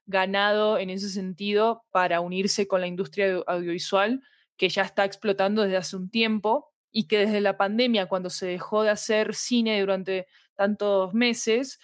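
A woman's voice: mid-range voice, steady tone, normal speed, no echo, studio quality. A woman with a Latin American Spanish accent, talking reflectively and at length as a guest in a casual interview. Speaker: interview guest, audio fiction expert.

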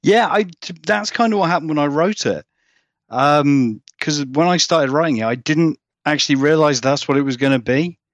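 A man's voice: on the high side, moderate pace, no room sound. A man, a guest author chatting casually in a rambling, self-deprecating way. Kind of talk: casual interview chat.

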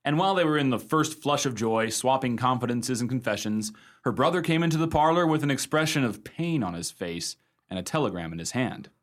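An actor playing a genial husband spinning a ghost yarn in a conversational, expressive way for a radio play. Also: male voice, mid-range voice, brisk, natural voice. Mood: confiding. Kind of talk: radio drama storytelling.

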